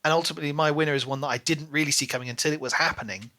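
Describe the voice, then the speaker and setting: A man's voice: on the high side, fairly even intonation, rapid-fire, pushed and bright. A man co-hosting a podcast, casually discussing books in a chatty, hedging way with frequent filler phrases. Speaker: podcast co-host.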